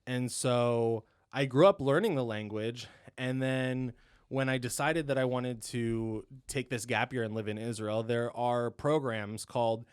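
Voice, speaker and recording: Rambling young man with a smooth voice. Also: mid-range voice, fairly even intonation, measured pace. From Ohio, USA. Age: 20s. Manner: rambling, heavy on "you know" and "um", laughs often. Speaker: podcast guest, dental student. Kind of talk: casual interview chat.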